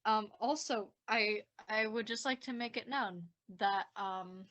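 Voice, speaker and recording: A bright, forceful woman's voice at a middle pitch. She talks at length in casual commentary as the writer of a show, with a self-deprecating streak. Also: unhurried, dull audio. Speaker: podcast scriptwriter. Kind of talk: casual behind-the-scenes commentary.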